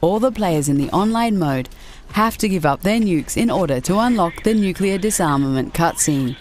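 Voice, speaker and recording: A woman with a low voice delivers scripted narration as the presenter of a video game list show. Music plays clearly behind her. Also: animated, moderate pace, darkish tone.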